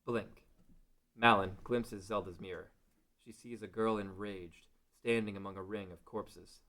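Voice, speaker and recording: A man's voice: fairly low pitch, fairly even intonation, a little slow, fairly bright tone. A man reading scripted story narration as the narrator of a fantasy table read.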